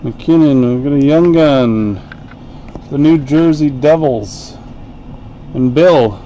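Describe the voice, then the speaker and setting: Slow-talking man opening card packs. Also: mid-range voice, dark tone, muffled recording, a little hiss or hum. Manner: unhurried, chatty play-by-play while opening packs. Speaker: card break host. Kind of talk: live card break commentary. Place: home card-break room, indoors.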